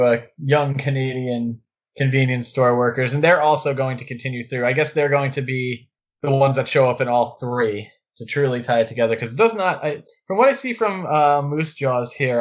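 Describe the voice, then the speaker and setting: Male podcast co-host, mid-pitched, chatty and enthusiastic film talk with rambling asides. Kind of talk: casual film discussion.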